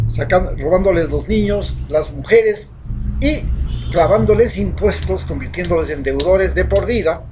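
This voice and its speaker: Ecuadorian guy ranting, high voice, goes loud then quiet, faint noise behind. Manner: impassioned, rhetorical, swings between loud and hushed. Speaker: social commentator. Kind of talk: opinion monologue.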